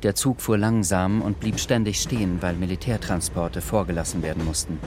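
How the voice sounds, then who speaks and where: A man's voice: sits low, steady tone, moderate pace, darkish tone, slight background noise. A man narrating a radio drama as scripted literary prose.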